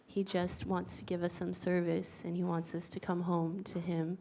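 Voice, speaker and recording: An American woman giving a grateful personal testimony, soft-spoken and steady with small fillers. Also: fairly low pitch, moderate pace, vocal fry, a little hiss or hum. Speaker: pilgrim sharing reflections.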